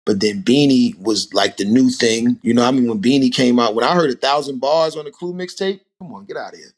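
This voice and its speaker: A man, a rapper telling stories as a podcast guest in a relaxed, animated way. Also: medium pitch, brisk. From Harlem, New York. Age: 40s. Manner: animated storytelling, rhetorical asides. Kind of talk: casual podcast conversation.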